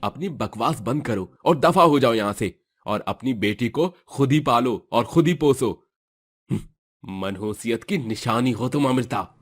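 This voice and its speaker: A man's voice: mid-range voice, lively intonation, a little slow, husky. A man voicing a heartless, contemptuous husband in a moral story, with harsh, dramatic delivery. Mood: cruel contempt.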